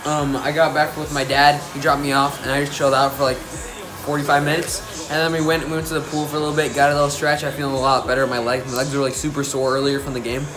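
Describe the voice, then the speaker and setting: A man's voice: fairly high pitch, level tone, fast talker, poor audio. A teenage American male speaking in a casual vlog style to a handheld camera at arm's length. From United States. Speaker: vlogger, youth soccer player. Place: indoors: home living room, hotel room, busy venue. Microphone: phone or camera at arm's length.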